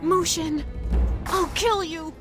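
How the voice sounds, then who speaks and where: A woman's voice: very high voice, fairly even intonation, takes it slowly, music in the background. An actress voicing an earnest young female sword pupil in a game, pleading and emotional. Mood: furious. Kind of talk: game cutscene voice acting.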